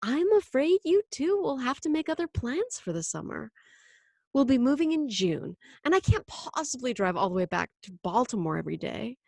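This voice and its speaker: A woman narrator plays a breezy, determined mother from a storybook. Her voice is lifted and very lively, coaxing and full of exclamation. The recording is dry and close. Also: high-pitched, moderate pace, creaky, dry recording, voice pushed up, exaggerated voice. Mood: calm coaxing. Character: gushing, enthusiastic artist mother, breezy and determined.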